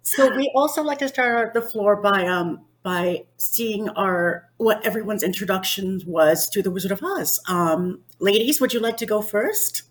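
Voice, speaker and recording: A woman hosting a podcast, grateful and emotional, with many hesitant pauses. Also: mid-range voice, expressive. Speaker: podcast host. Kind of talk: casual chat.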